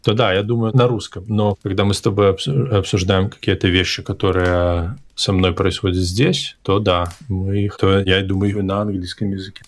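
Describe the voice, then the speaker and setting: A man hosting a podcast, talking casually and reflectively, often pausing and correcting himself mid-sentence. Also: low-pitched, flat delivery. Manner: hesitant, self-correcting, reflective. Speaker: podcast host. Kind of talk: casual conversational interview. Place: abroad, in an English-speaking country.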